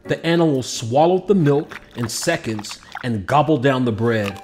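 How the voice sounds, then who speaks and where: A man reading a children's picture book aloud as an expressive scripted narration, with music behind him. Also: medium pitch, moderate pace. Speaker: storybook narrator. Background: background music.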